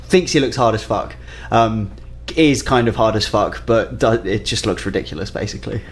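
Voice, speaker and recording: A man's voice: fairly low pitch, normal speed, a little hiss or hum. Dry, blunt man describing his game character. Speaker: player in a tabletop role-playing game. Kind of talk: casual jokey character description.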